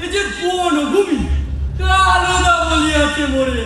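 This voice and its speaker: A man's voice: very high-pitched, a little slow, shouting. A man acting a boastful, defiant villain king in a comic stage play, declaiming pompously in mock-archaic language. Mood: boastful, grandiose. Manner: pompous, theatrical, bellowing, mocking. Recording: echoey stage recording with music and crowd.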